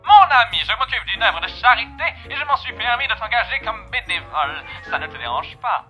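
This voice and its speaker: A man voicing a grinning, theatrical demon gentleman with mocking politeness in an amateur cartoon fan dub. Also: sits high, animated, fairly quick, faint music behind. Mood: smug cheer. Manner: theatrical, mannered, falsely courteous.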